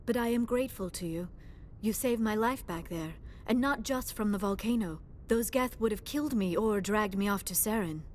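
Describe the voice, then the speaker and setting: Sci-fi game: actress as youthful female alien researcher, medium pitch, formal, precise, earnest, faint rumble behind. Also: moderate pace, natural voice. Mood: polite, grateful. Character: earnest, polite young female alien scholar. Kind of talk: video game dialogue acting.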